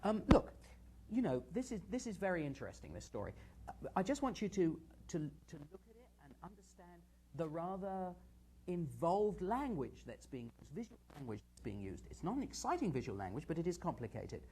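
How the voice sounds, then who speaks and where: A man hosting a live show and analysing TV news, talking to viewers in a halting, conversational, digressive way. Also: fairly high pitch, very animated, dark tone, a little hiss or hum. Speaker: host and media analyst.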